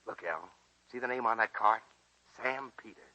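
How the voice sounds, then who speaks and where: An actor playing a plainspoken, teasing, devoted small-town grocer in a 1941 radio drama, with a folksy manner. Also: male voice, fairly low pitch, moderate pace, sharp tone, rough recording. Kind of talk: 1940s radio drama acting. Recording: 1941 radio broadcast recording.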